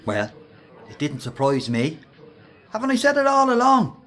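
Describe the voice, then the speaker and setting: A cocky workplace bully in a radio play. His voice rides high and swings about freely, full of mockery and taunts. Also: huge pitch swings, normal speed, fairly bright tone, heavily acted. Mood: smug. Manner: taunting, mimics stammers. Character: loud, mocking male workplace bully. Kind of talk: radio drama acting.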